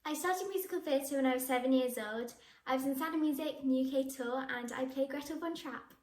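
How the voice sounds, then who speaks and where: A teenage girl talks about her acting work, bubbly and eager. Her voice sits high. The room around her adds a noticeable echo. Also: fairly quick, darkish tone. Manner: bubbly, enthusiastic, with frequent laughs. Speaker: young actress. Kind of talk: answering interview questions to camera. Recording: echoey room.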